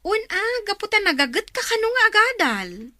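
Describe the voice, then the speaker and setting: An actress playing a proud, affectionate older sister in a radio drama, effusive, with a northern Philippine accent. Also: female voice, extremely high pitch, very animated, normal speed, pushed and bright, no echo, exaggerated voice. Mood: proud, delighted. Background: music.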